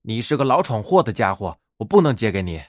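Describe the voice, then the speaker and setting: A man's voice: fairly low pitch, very animated, fast talker, no echo, clean studio sound. A man voicing a young storybook hedgehog, proud and wary, who talks in a firm, grumbling way. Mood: firm refusal.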